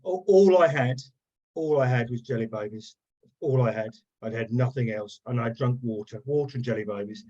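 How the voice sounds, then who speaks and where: A man's voice: mid-range voice, normal speed, dark tone. A man taking part in a webinar, answering questions in a plain, matter-of-fact way. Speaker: webinar participant. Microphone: video call. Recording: muffled video call audio.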